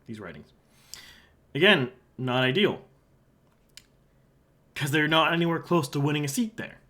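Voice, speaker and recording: A man's voice: fairly high pitch, lively intonation, measured pace. A man from Halifax with a sore throat, giving casual solo commentary as he walks through an election forecast map. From Halifax, Canada. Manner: casual and conversational, drops quieter aside asides while pointing at a map. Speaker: election analyst, channel host.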